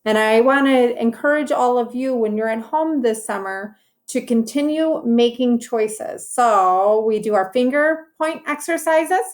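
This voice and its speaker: A woman's voice: a little high, measured pace. A woman teacher reading a story to young children, speaking in simple, instructive phrases with encouraging asides about the pictures. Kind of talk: read-aloud lesson for young children, with commentary on pictures.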